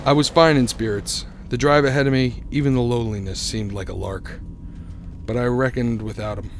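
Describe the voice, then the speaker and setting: A man's voice: medium pitch, fairly even intonation, a little fast, background noise, natural voice. An actor playing a haunted young motorist who tells his eerie story in a radio drama, grave and suspenseful. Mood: uneasy, suspenseful. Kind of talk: radio drama narration and acting.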